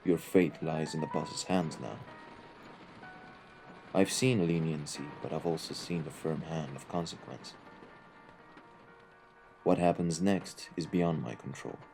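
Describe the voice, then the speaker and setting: A man voicing a cultured, calmly menacing mafia henchman in an ASMR audio roleplay, speaking in a measured, formal, eloquent way. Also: rumbling low, faint music behind. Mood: grave warning.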